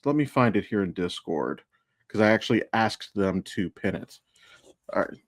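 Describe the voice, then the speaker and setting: A man's voice: on the low side, lively intonation, normal speed, dry recording, clean studio sound. An American man co-hosting a podcast in casual, rambling, sweary chat. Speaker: podcast co-host and voice actor.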